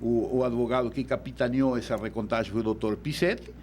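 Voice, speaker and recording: A man's voice: medium pitch, fairly even intonation, fast talker, darkish tone, slight background noise. A man from Uruguay with a Uruguayan Spanish accent, a law professor explaining things as a guest in a radio studio, often repeating words while he thinks.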